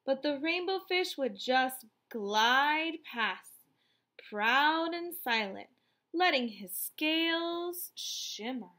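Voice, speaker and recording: Slow, high-voiced woman reading to kids. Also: expressive, bright, forceful tone. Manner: deliberate, emphatic. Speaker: storybook reader for children. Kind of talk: expressive children's read-aloud narration. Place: small room at home, indoors.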